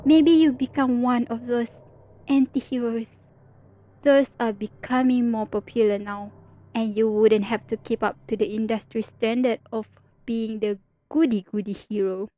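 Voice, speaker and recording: A woman's voice: fairly high pitch, fairly even intonation, unhurried, dull audio. A woman performing an ASMR roleplay as a teasing female villain talking to her rival hero. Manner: teasing, playful, confiding.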